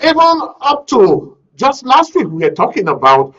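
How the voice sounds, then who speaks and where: A man with a Nigerian accent hosting a current affairs radio show, speaking deliberately and repeating phrases for emphasis. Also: very high voice, huge pitch swings, fairly bright tone. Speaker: radio talk show host. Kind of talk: live current affairs commentary. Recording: dull, lo-fi broadcast audio.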